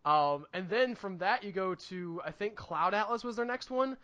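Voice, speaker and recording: A man's voice: high voice, lively intonation, normal speed, lo-fi sound, a crowd in the background. A man co-hosting a podcast, chatting casually about movies in a rambling, earnest way as he thinks aloud. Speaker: podcast co-host. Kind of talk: casual movie review chat.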